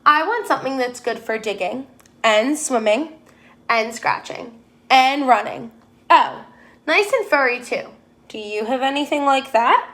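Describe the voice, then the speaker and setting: Lady reading as a keen polite boy dog from a kids book, earnest questions, highish and slow. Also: animated, a bit bright. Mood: hopeful, excited. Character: eager, polite male dog shopping for footwear. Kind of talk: children's storybook character.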